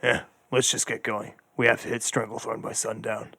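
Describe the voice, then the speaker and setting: Man playing a deadpan undead corpse sidekick, low and dry. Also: normal speed, very noisy, natural voice. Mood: dry, weary. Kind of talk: comedic audio drama acting.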